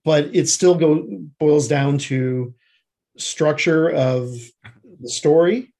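A man's voice: on the high side, takes it slowly, warm tone. A man speaking as an interview guest, explaining his writing work in a conversational way. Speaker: guest screenwriter and fiction writer.

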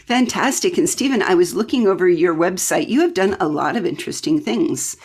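A woman's voice: on the low side, expressive, brisk, a little mellow, breathy edge. A woman hosting a casual interview in an enthusiastic, effusive way. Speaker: podcast host.

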